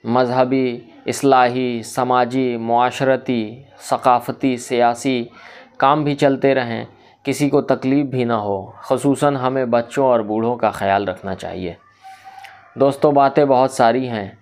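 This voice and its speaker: A man delivering a scripted social commentary in a formal, measured, oratorical manner. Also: medium pitch, fairly even intonation, darkish tone. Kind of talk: scripted reformist monologue in literary Urdu.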